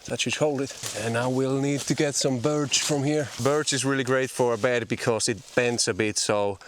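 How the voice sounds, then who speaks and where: A man's voice: mid-range voice, moderate pace. Man explaining bushcraft outdoors. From Finland. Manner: relaxed, friendly, explains as he builds. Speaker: bushcraft vlogger teaching a beginner. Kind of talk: casual instructional chat while working. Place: outdoors in a forest. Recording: outdoor noise and clicks.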